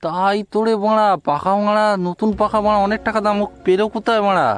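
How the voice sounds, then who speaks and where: A man's voice: sits high, steady tone, fast, soft background music, natural voice. A man voicing a crude, suspicious young club mate in a comic cartoon. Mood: surprised, suspicious. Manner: swearing, suspicious.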